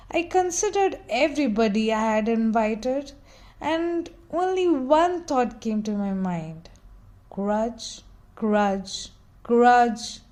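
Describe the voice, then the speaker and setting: A woman reading a children's picture book aloud in an expressive, dramatic storytelling style with theatrical emphasis. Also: a little high.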